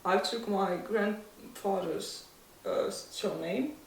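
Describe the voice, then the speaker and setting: A woman's voice: mid-range voice, slow pace, a little hiss or hum. A woman in her twenties with a Russian accent, answering interview questions in a hesitant, thoughtful way, laughing often. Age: 20s. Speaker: interview guest. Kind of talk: casual interview. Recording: echoey room, mic at a distance.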